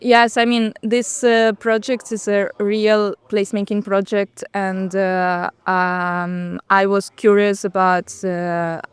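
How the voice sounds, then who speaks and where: Hesitant Russian-born woman, clear voice. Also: mid-range voice, flat delivery, slow pace, hardly any pauses, no room sound. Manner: hesitant, many fillers and restarts, soft laughs. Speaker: architect, interview guest. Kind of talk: casual interview conversation. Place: outdoors on a bench at a city event.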